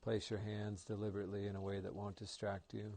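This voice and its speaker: Low, mellow man guiding meditation. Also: fast talker. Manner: calm, gentle, soothing instruction. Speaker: meditation guide, yoga instructor. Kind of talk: guided meditation narration.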